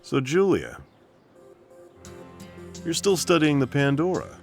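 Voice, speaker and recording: A man's voice: fairly high pitch, wildly swooping pitch, slow, creaky, soft background music, exaggerated voice. A man playing a laid-back, confident, good-natured bounty hunter in a video game cutscene, talking casually. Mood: relaxed, playful. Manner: nonchalant, casual.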